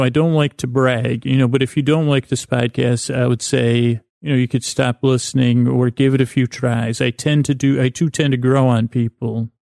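A man hosting a bedtime podcast, improvising in a halting, meandering monologue that trails off and repeats words, with a gravelly voice. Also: mid-range voice, a little mellow, no echo, studio quality. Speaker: podcast host.

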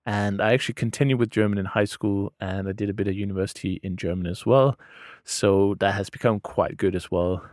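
Guy, 30s, kinda low voice, chill interview answers with lots of uhs, dry close sound. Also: steady tone, a little fast, darkish tone, dry recording. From Denmark. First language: Danish. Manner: easygoing, many uh fillers and restarts. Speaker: podcast guest.

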